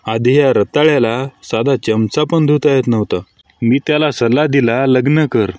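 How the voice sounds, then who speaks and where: A man's voice: medium pitch, normal speed, a little mellow, no room sound, lightly acted. A man playing a sarcastic, teasing husband in scripted comedy cartoon skits. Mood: dry, teasing.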